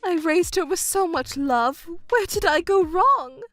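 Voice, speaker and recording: Woman acting high-pitched, hysterical middle-aged mother. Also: lively intonation, moderate pace, no echo, faint music behind. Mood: distraught disbelief. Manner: melodramatic, wailing, indignant. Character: hysterical, self-pitying middle-aged mother, scheming and possessive. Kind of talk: acted dialogue in a narrated family drama.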